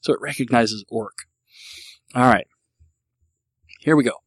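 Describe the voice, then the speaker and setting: A man in easy, rambling solo chat, laughing at himself and restarting his sentences often. His pitch sits right in the middle for a man. The recording is dry and close, with no room sound. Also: breathy edge, no room sound. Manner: self-deprecating, rambling, halting with many restarts. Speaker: podcast host answering listener questions.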